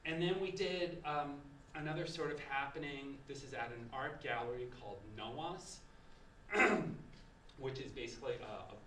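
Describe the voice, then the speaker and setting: A man's voice: a little high, normal speed, sharp tone, far from the mic, a little hiss or hum. Rambling man in an echoey hall. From United States. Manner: loose, rambling with many restarts. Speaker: lecturer. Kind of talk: conversational festival lecture. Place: lecture hall. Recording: echoey room, voice from off-mic.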